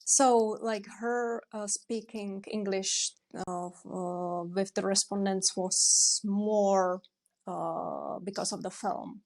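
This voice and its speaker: A young woman director answering interview questions, pausing often to search for words. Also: mid-range voice, steady tone, a little mellow. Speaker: documentary director.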